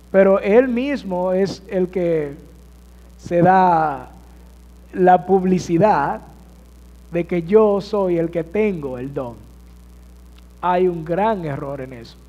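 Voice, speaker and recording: High-voiced pastor preaching in a hall. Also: male voice, mellow, dull audio. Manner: addresses congregation with rhetorical questions. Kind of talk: sermon. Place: church sanctuary. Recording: church hall reverb.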